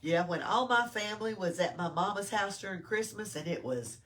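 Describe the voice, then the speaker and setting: A woman's voice: medium pitch, animated, moderate pace, a bit bright. An older woman reads a story aloud to young children, explaining simply and prompting them with questions. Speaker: library storytime reader. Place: small-town library. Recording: distant mic with background noise.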